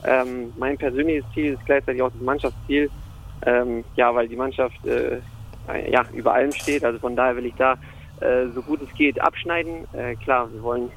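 Gravelly, flat-voiced young man. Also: mid-range voice, moderate pace, slight background noise, low-quality recording. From Germany (Hamburg). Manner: hesitant, many fillers. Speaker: football player. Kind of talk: casual interview answers.

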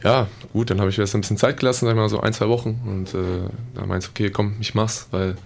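A young man answers interview questions in a halting way, filling pauses with drawn-out hesitations. His voice is low and gravelly with fry. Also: fairly even intonation. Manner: halting, lots of "äh" fillers. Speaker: guest footballer. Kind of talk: casual interview talk.

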